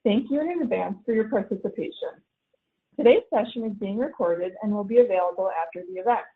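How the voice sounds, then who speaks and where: A woman's voice: mid-range voice, brisk. A woman moderating a webinar, reading the welcome and the speaker introductions from a script. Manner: formal, reads from a script. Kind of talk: scripted announcements. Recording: phone-line audio.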